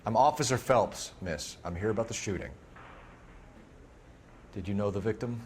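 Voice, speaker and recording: An actor playing a stern, relentless police officer in a video game, questioning in a clipped, formal and forceful manner. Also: male voice, on the low side, moderate pace, a little hiss or hum, lightly acted. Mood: businesslike. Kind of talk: dramatic video game acting.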